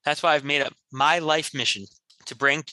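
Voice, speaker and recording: A man's voice: a little high, normal speed, pushed and bright, no echo. A man improvising parody radio commercials like a comedy performer, with a salesman-like announcer delivery.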